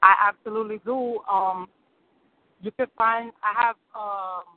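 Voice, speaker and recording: A woman's voice: mid-range voice, steady tone, measured pace. A woman from New City, answering interview questions in an upbeat, eager way and laughing easily. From New York City. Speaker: singer and rapper guest. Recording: dry, tinny phone-like sound.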